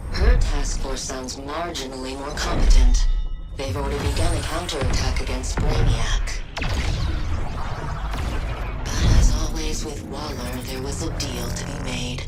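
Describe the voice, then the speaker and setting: An actress playing a cold, analytical scientist in a video game, speaking in formal, measured phrases. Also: female voice, very deep voice. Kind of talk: video game voice acting, dramatic monologue.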